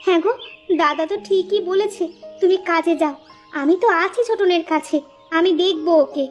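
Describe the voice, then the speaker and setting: A woman's voice: extremely high pitch, moderate pace, a little mellow, dull audio, soft background music. A woman playing a doting, worrying village mother and wife in a cartoon, fretful, pleading and coaxing. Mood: concerned, coaxing. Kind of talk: cartoon story dialogue.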